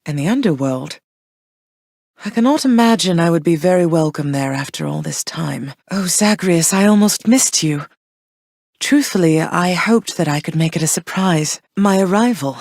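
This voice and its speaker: An actress voicing Persephone, a gentle, wistful queen of the underworld and a mother, in soft, measured, tender tones. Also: fairly low pitch, expressive, gravelly, no room sound, studio quality. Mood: warm. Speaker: game character. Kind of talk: game voice lines.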